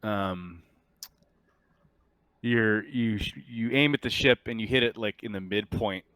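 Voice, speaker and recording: A man working as game master, narrating a tabletop game in a casual, halting, conversational way. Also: lowish voice, a bit bright, smooth, no room sound.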